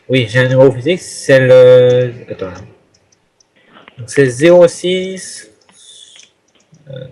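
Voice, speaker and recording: A man making an improvised phone call as a hotel guest, speaking in a hesitant, rambling way. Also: mid-range voice. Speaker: prank caller posing as a hotel guest. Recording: noisy phone call with clicks.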